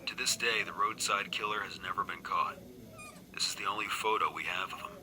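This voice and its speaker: An actor playing a grim, haunted police investigator, giving a grave, measured case briefing in a video game. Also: male voice, fairly low pitch, pushed and bright, slight background noise, rough recording.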